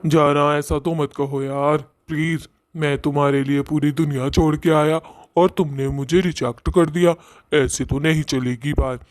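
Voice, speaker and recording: A man's voice: on the high side, steady tone, unhurried, no echo, close to an everyday voice. A man voicing a witch's clingy ex-boyfriend in a cartoon story, wheedling and insistent. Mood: pleading. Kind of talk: Hindi cartoon story voice acting.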